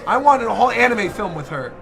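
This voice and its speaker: A man's voice: sits high, big pitch swings, fast talker, vocal fry, over music. A man giving casual reaction commentary as a video host, full of enthusiastic exclamations.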